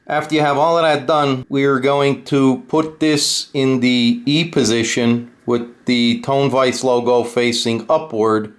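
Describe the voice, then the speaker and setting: A man giving a casual, unscripted hands-on tutorial and review, explaining step by step in a blunt way. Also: mid-range voice. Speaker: host of a guitar gear tutorial and review.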